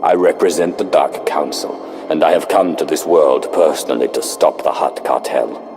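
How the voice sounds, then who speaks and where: An actor playing a commanding, cold Sith lord in a video game, measured, formal and imperious. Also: male voice, very deep voice, big-room sound, music in the background. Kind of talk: video game cutscene acting.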